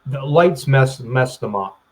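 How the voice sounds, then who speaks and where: A man speaking as a guest over a webcam video call, rambling and halting, clearing his throat and restarting his sentences. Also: mid-range voice, expressive, a little mellow. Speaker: plant grower, interview guest. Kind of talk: casual interview chat.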